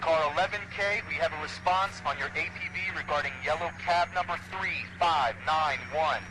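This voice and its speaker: An actor playing a businesslike police radio dispatcher relaying a bulletin in a video game. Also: male voice, a little high, normal speed, close to an everyday voice. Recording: very noisy car radio dispatch.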